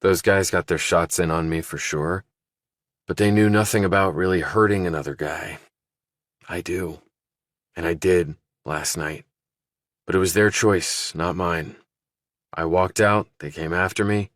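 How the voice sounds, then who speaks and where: A man's voice: low-pitched, steady tone, unhurried, long pauses, fairly bright tone, dry recording, studio quality. A man voicing a tough, guarded young ex-army fighter with a terse, blunt manner in an audiobook. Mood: guarded, firm.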